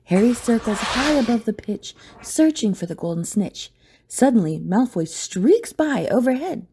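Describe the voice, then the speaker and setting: A woman's voice: a little high, big pitch swings, a little slow, dark tone, husky. A woman narrator reading a scripted story dramatically for an audio play.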